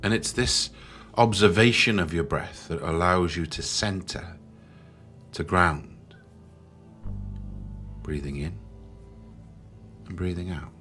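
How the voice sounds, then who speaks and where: Low-voiced man guiding a meditation over music. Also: fairly bright tone. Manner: slow, soothing, with long pauses. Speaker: guided meditation host. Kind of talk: guided meditation narration. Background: background music.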